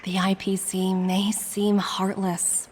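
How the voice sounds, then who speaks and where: A woman voicing a composed corporate agent in a video game, reading a formal, earnest and persuasive letter in a measured way. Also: medium pitch, completely flat delivery, a little mellow, slight background noise, natural voice. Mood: measured, solemn. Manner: measured, formal, deliberate phrasing. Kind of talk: scripted game letter monologue. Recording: background music under the voice.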